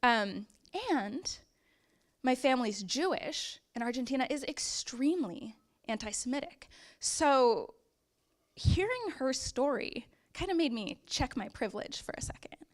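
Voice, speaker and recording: A woman's voice: sits high, lively intonation, measured pace. A young woman giving a prepared personal speech to an audience, earnest and encouraging, with the odd hesitant "um". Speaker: conference speaker.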